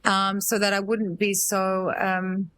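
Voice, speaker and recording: A woman's voice: mid-range voice, flat delivery, slow, a bit bright, smooth. A woman from Australia, a singer-songwriter and entrepreneur, talks as an interview guest in a thoughtful, rambling way full of fillers. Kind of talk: casual interview chat.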